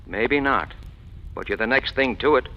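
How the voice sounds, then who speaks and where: A man's voice: mid-range voice, moderate pace, lightly acted. An American man playing a cynical, self-assured racketeer in a 1940s radio crime drama, with wry, slangy tough-guy talk. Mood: cynical, needling. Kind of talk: 1940s radio crime drama acting, first-person narration and dialogue. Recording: muffled old radio recording, music.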